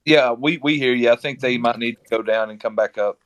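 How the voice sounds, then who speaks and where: A man's voice: medium pitch, a little fast, background noise. A man casually hosting and moderating speakers in an online group audio chat.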